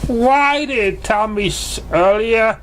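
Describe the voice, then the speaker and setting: Man voicing a brash pitched-up tomboy pegasus pony. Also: takes it slowly, a bit bright, a little hiss or hum. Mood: hurt, protective. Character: brash tomboyish female pegasus pony, caring big sister.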